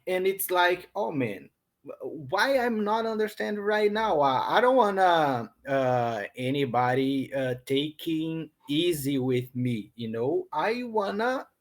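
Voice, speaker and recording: A man's voice: on the high side, animated, takes it slowly. A man from Brazil with a Brazilian Portuguese accent, an English learner chatting hesitantly on a video call, full of ums and restarts. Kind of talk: casual online lesson chat.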